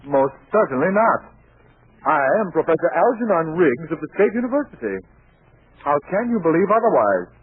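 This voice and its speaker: An actor playing a prim, bookish, easily flustered professor in a 1940s radio comedy, with formal, pedantic diction. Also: male voice, on the high side, big pitch swings, normal speed, slightly rough, no room sound. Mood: flustered, indignant. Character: prim, flustered, bookish male professor, naive about slang. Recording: old 1940s radio recording, narrow band.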